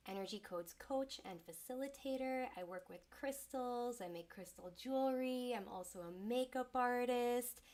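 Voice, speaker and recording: A woman hosting a livestreamed spiritual talk from her small home studio. She speaks in a soft, soothing, unhurried way and sounds slightly congested from a cold. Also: medium pitch. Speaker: host and sound healer.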